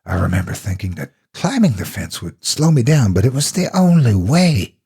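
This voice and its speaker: A man with a husky, gravelly voice narrating a horror story in the first person, dramatically and with deliberate expression. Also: lowish voice, sing-song, mellow.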